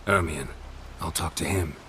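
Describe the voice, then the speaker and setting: An actor playing a gruff, stoic monster hunter in a video game, speaking in terse, understated phrases. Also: male voice, low-pitched, normal speed. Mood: terse, determined. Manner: flat, clipped, understated. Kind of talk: video game cutscene acting. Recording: clear background noise and ambience.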